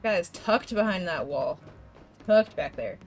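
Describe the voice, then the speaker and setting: A woman's voice: on the low side, animated, unhurried, soft background music. A young woman streaming a game and chatting with viewers, congested from a coming cold, rambling with restarts and laughs. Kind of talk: casual gameplay chat with viewers.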